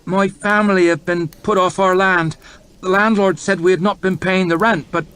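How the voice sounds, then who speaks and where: A man's voice: high voice, steady tone, normal speed, fairly bright tone, clean tone, voice pushed up. A gaunt, humble farmer, done by a male narrator, stammers out his plea with a meek, mumbling delivery. The voice is raised and unsteady. This is storybook reading. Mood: pleading.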